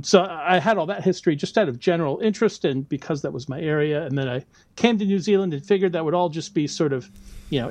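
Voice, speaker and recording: A man's voice: a little high, darkish tone, no echo. Man, American accent, middle-aged, chatty and explanatory, dry close sound. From United States. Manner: explanatory, with frequent ums and laughs. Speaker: guest, biology lecturer. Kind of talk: casual interview talk.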